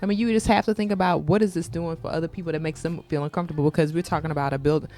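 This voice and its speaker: A woman's voice: low voice, steady tone, rapid-fire, mellow, soft background music. A woman from New York hosting a podcast discussion, chatting loosely and often repeating words as she starts her thoughts. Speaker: podcast host. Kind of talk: casual discussion with read sponsor segment. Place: Houston.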